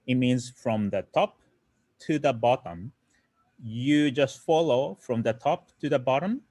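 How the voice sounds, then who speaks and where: A young man with a Japanese accent teaches beginners in English, his second language. His pitch rises and falls in a lively way as he explains slides and keeps asking "right?". The sound is dry and close. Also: no echo. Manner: explanatory, many "right?" tag questions and fillers. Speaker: programming instructor. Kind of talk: online lesson explaining slides.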